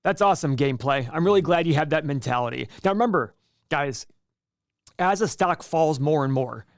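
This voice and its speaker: A man's voice: fairly high pitch, expressive, a little fast, no room sound, clean studio sound. A man born in Iraq co-hosting a stock market livestream, talking casually and rambling, repeating words and acting out his own thoughts. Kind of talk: casual investing talk on a livestream.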